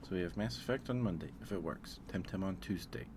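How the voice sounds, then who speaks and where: A man's voice: sits low, expressive, brisk, noisy background. A man hosting a casual livestream, thinking aloud in a hesitant way. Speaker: livestream host.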